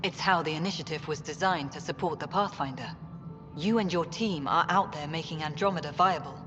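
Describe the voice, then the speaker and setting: A woman's voice: low voice, a little fast, close to an everyday voice. A woman acting as a businesslike, slightly wry colonial affairs director in a video game. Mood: brisk briefing. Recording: dull game audio, long reverb, music.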